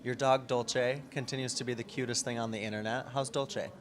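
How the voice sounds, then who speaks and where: A man's voice: mid-range voice, fairly even intonation, a little fast, a little hiss or hum. Upbeat male sports interviewer. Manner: upbeat, chuckles often.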